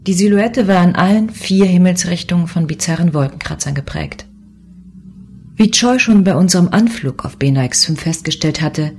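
Woman acting as a space cop lady telling her story, deep voice, calm and factual, radio play narration, faint music. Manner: composed, matter-of-fact. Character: composed, matter-of-fact female space police commissioner recounting a mission. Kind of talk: first-person narration in a science-fiction audio drama.

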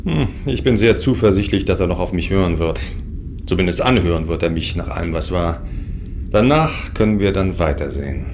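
A man's voice: low-pitched, lively intonation, fairly quick, darkish tone, slight background noise. A man playing a confident, grandiose sect leader in a science fiction radio play, speaking in a lofty, solemn way. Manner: self-assured, solemn, lofty. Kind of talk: radio play dialogue and first-person narration.

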